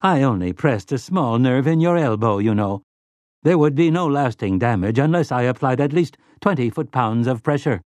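A man's voice: mid-range voice, unhurried, no echo, pitched above the performer's own voice, lightly acted. A man voicing a complacent, prissy male servant robot in an audiobook, with smug solicitude and silky menace. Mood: falsely solicitous.

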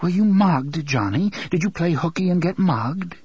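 A man's voice: sits high, wildly swooping pitch, takes it slowly, husky, dry recording, highs cut off, pitched above the performer's own voice, heavily acted. A man voicing a timid, frightened mother who pleads with her family.

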